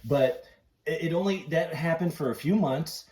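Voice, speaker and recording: A man's voice: on the high side, normal speed, darkish tone. Male podcast host, middle-aged, echoey room. Informal, eager, chatty fan delivery. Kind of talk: casual chat. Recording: roomy, echoey sound.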